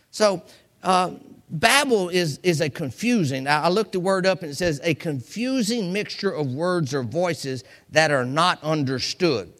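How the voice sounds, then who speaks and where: High-pitched, animated American male preacher. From United States. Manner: folksy, acts out examples and quotes; repeats phrases for emphasis. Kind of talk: informal Bible teaching sermon.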